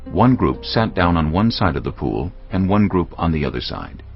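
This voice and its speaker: Deep, gravelly male Bible narrator. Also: sing-song, normal speed. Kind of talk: scripted dramatized Bible narration. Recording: dull lo-fi audio with music behind. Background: faint music.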